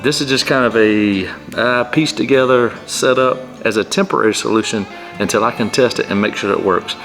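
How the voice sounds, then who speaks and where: A man's voice: medium pitch, fairly quick, fairly bright tone, soft background music. A man hosting a casual how-to vlog, talking in a plain-spoken, informal and self-effacing way. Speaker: amateur pilot and video host.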